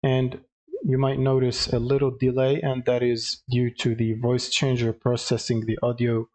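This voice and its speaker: A man presenting a casual step-by-step software tutorial, explaining with some hesitation. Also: mid-range voice.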